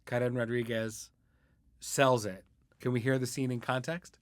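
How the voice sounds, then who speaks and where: A man hosts a casual interview show. His voice sits at an ordinary middle pitch, recorded dry with very little room around it. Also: flat delivery, moderate pace, no room sound. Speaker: podcast host.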